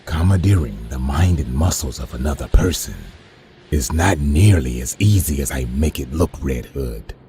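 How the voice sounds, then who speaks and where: An actor playing a pompous, self-righteous crime boss supervillain in an animated comic, speaking in a deep, dark, gravelly voice with measured menace. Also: male voice, a little hiss or hum. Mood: smug menace. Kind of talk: animated comic villain acting.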